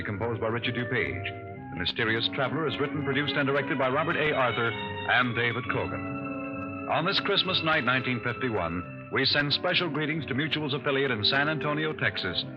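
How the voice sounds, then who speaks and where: A man announcing for a network radio show in a crisp, formal, promotional manner. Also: mid-range voice, animated, brisk. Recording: muffled 1950s radio broadcast with music.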